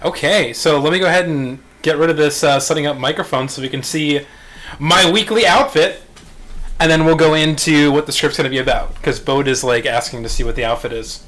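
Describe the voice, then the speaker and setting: A man hosting a live stream with casual, playful, animated banter. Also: fairly high pitch, fairly quick. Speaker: stream host.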